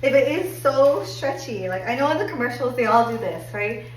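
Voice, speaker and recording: A woman getting over a cold and a little hoarse, chatting upbeat through a clothing try-on review with lots of "like"s. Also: on the high side, lively intonation, normal speed. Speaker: fashion haul vlogger. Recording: echoey room, distant mic, faint hum.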